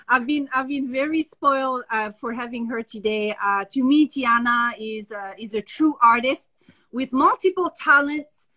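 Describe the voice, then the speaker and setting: A woman, hosting a live video-call interview, enthusiastic and halting with many fillers. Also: fairly high pitch, bright, forceful tone. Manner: enthusiastic, hesitant, many uhs. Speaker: host. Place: home office indoors. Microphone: laptop on a video call.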